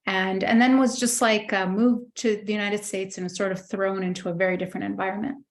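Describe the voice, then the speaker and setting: A middle-aged woman born in Egypt, a guest in an interview, telling her life story thoughtfully with many pauses and restarts. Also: mid-range voice, flat delivery. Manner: thoughtful, many fillers and restarts, warm recollection. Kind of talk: reflective personal storytelling.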